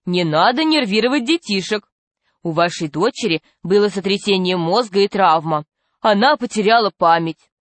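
A woman's voice: medium pitch, expressive, normal speed, no echo, close to an everyday voice. A woman voicing a brusque male doctor in a storybook, giving curt, authoritative, dismissive orders. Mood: placating.

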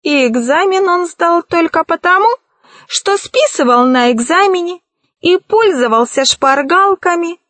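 Storybook henchman: gleefully cruel, mocking male villain, played by a woman, very high register. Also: expressive, unhurried, fairly bright tone, dry recording, heavily acted. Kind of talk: children's storybook reading.

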